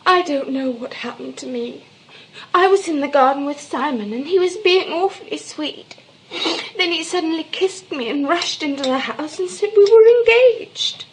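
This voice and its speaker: High-voiced, querulous young ingénue, naive house guest, theatrical comedy, slight background noise. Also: female voice, lively intonation, moderate pace, low-quality recording. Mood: aggrieved, bewildered. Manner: plaintive, ingenuous, querulous. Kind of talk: theatrical stage comedy acting.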